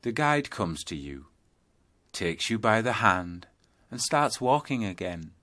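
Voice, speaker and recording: A man narrating a scripted guided yoga nidra meditation, telling a journey in measured second-person storytelling. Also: lowish voice, sing-song, sharp tone.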